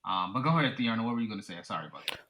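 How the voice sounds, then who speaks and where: Bright-toned thoughtful American man. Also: fairly low pitch, expressive, very fast. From United States. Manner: thoughtful, leans on "you know". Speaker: podcast guest. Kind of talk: casual conversation.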